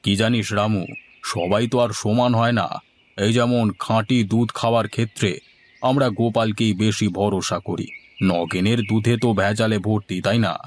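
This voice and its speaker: A man's voice: lowish voice, fairly even intonation, moderate pace, slight background noise, natural voice. A man playing a food-loving, ponderous village headman in a cartoon. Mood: musing. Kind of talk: scripted cartoon dialogue.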